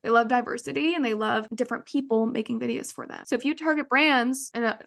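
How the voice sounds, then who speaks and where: A woman's voice: fairly high pitch, fast talker, vocal fry, fairly bright tone. A woman coaching a group on a video call, upbeat and encouraging, often checking in with "right?" as she answers questions.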